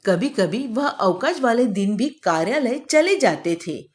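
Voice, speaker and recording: A woman's voice: fairly high pitch, expressive, unhurried. A woman reading a scripted children's moral story as a storyteller.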